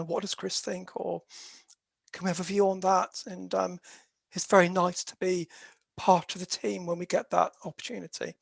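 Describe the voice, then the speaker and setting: A dispute resolution specialist giving a professional webinar talk in a croaky voice, hesitant and filling pauses with many "um"s as they explain things steadily. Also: medium pitch, no echo. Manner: hesitant, with very frequent "um" fillers; explanatory, steady lecturing delivery.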